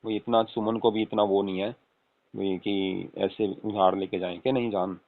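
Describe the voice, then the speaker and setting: A man's voice: fairly low pitch, steady tone, normal speed, lightly acted. A man playing an affectionate young husband on a casual late-night call, coaxing and often asking for agreement. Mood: explaining, reassuring. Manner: coaxing, repeatedly seeking agreement with tag questions. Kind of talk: acted casual phone conversation with spouse. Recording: tinny phone-call audio with room echo.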